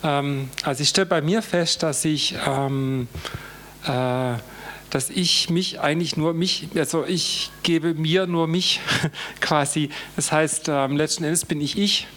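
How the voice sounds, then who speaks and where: A man moderating a panel discussion, halting and repeating words when he gets stuck. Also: fairly high pitch, fairly bright tone.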